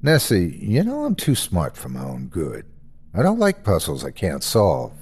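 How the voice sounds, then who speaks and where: A man playing a bright, earnest young male hospital resident in a story, stubbornly curious and conversational. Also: low voice, wildly swooping pitch, unhurried, slight background noise, voice pushed down, exaggerated voice. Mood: gently probing.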